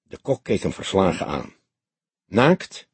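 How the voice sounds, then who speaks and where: A man narrating a crime novel as an audiobook in an expressive storytelling style. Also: fairly low pitch, moderate pace, husky, dry recording.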